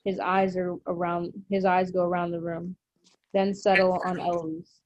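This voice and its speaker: A woman's voice: on the low side, little pitch movement, normal speed, dry recording. A woman reading stage directions as scripted narration at a drama table read. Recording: dry, muffled lo-fi audio.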